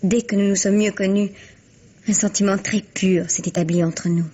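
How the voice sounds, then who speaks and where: An actress playing a proud, defensive young stage actress being questioned in a vintage radio mystery, haughty and articulate. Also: female voice, mid-range voice, steady tone, moderate pace, dark tone, dull audio, close to an everyday voice.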